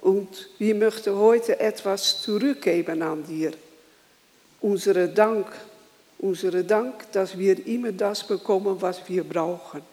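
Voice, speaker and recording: A worship leader who welcomes the congregation, prays and reads scripture in a slow, halting way, with small grammar slips. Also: mid-range voice, a little mellow, long reverb tail. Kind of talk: church welcome, prayer and scripture reading.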